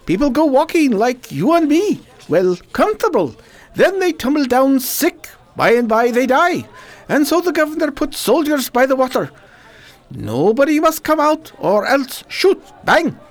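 An actor playing a pushy bazaar shopkeeper. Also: a little slow. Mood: grave. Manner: broken English, pushy and eager, lively pitch. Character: boastful male bazaar shopkeeper, broken English. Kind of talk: amateur radio drama acting.

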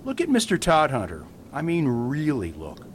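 An actor playing a mild, whimsical priest detective in a radio drama, musing aloud with theatrical emphasis. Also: male voice, huge pitch swings, normal speed. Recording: faint rumbling background noise.

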